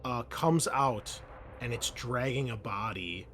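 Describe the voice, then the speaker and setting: A man running a tabletop roleplaying game as game master, narrating scenes haltingly with pauses. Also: mid-range voice, fairly bright tone, slight background noise.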